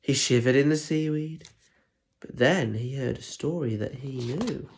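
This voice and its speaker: A man's voice: mid-range voice, a little slow, a little mellow. A man reading a picture book aloud to children with lots of expression, breaking off for chatty asides and questions. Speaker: primary school teacher reading aloud.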